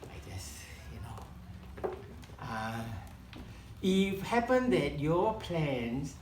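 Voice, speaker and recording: A man whose first language is Chinese, leading a live kokedama-making demonstration in a room and often pausing to search for words. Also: on the high side, sing-song, roomy sound, a little hiss or hum, low-quality recording. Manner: halting, searching for words, many restarts. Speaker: kokedama workshop founder demonstrating. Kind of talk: live hands-on craft demonstration. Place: room at a home demonstration event.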